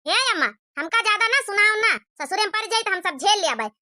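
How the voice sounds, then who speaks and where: Cartoon daughter: young, stubborn, Awadhi, tiny high sharp voice, whiny back-talk. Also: female voice, animated, quick pace, no room sound, clean studio sound, exaggerated voice. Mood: defiant. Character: sleepy, stubborn, carefree young unmarried village daughter. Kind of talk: cartoon village comedy dialogue.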